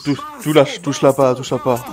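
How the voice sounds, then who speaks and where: A man streaming a VR roleplay, joking loudly and laughing often. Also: mid-range voice, level tone, brisk, a crowd in the background. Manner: joking, loud, bursts of laughter. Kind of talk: playful in-character roleplay banter. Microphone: VR headset mic.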